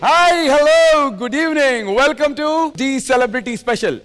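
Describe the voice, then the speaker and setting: A high-pitched man hosts a televised dance show, talking up each guest with big energy. Faint music plays behind him on the studio stage. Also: very slow. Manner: lively, mixes English and Telugu, hypes guests.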